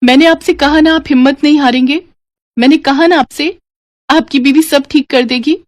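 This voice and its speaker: A woman's voice: high-pitched, fairly even intonation, normal speed, dry recording, studio quality, pitched above the performer's own voice, close to an everyday voice. Woman acting an earnest, devout, determined wife. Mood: reassuring. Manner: earnest, reassuring. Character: devout, devoted young mother and wife, determined.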